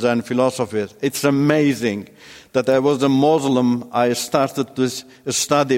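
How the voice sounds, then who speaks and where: A man from Iran whose first language is Turkish, giving a personal testimony in a halting way with many restarts. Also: medium pitch, darkish tone, in a large hall. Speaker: testimony speaker.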